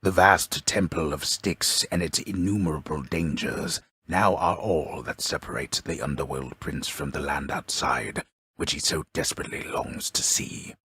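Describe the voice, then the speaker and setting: A male actor playing a grave, pompous storyteller in a video game, narrating a mythic epic in an ornate, deliberate, dryly wry manner with a gravelly voice. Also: a bass voice, pushed and bright, dry recording, heavily acted. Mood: solemn, portentous. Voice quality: gravelly, husky. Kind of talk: theatrical scripted narration.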